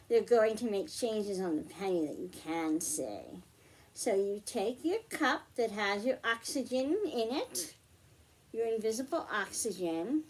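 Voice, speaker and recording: Woman, higher-pitched voice, children's storytime host giving simple, patient hands-on instructions. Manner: instructional, patient step-by-step, simple repeated phrasing. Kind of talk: simple hands-on science demonstration for young children.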